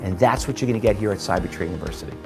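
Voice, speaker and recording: A man in his forties, founder of a trading school, pitching emphatically with rhetorical questions. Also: lively intonation, very fast, creaky. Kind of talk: promotional testimonial pitch. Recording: music bed underneath.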